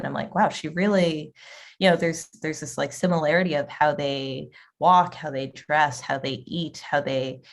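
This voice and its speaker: Low-voiced lady w a gravelly edge, rambling w lots of ums. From Boston area, USA. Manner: rambling, many fillers and restarts. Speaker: restaurant owner, guest. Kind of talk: casual interview conversation.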